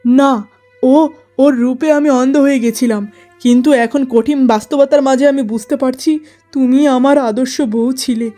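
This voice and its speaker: High-voiced, aggrieved merchant husband acted by a woman. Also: fairly even intonation, moderate pace, warm tone, faint music behind, lightly acted. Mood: remorseful pleading. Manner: earnest, aggrieved. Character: troubled merchant husband, complaining and regretful. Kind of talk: melodramatic cartoon story acting.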